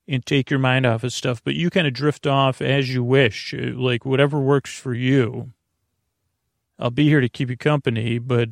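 A man hosting a bedtime podcast in a casual, gentle, reassuring ramble, halting and interrupting himself as he trails off mid-thought. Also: dry recording, clean studio sound. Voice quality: gravelly, creaky. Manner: gentle, reassuring, low-pressure. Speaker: podcast host.

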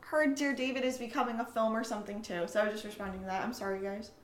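A woman's voice: fairly high pitch, level tone, fast talker. A young adult woman chatting casually and telling stories in an enthusiastic, emphatic way. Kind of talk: casual chat. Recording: echoey room.

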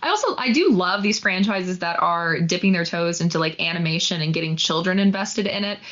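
A woman with a fairly low voice chats casually as co-host of an entertainment show. She comes across as easygoing and agreeable, on a slightly lo-fi recording. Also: expressive, a little fast, a bit bright. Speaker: co-host of a film news show. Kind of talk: casual chat.

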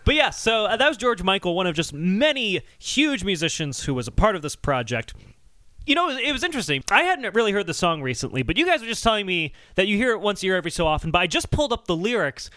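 A man hosting a podcast, explaining things in a casual, animated and wry conversational way. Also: high voice, quick pace, dry recording. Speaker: podcast host.

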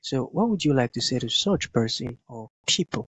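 A man hosts an interview, asking his questions slowly and haltingly and filling the gaps with soft agreement. His voice sits at a middling pitch. The recording is dull and dry, with a muffled edge. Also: lively intonation, husky, no room sound. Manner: slow, halting questions with frequent "mm" and "amazing". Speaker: interview host. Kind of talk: casual interview conversation.

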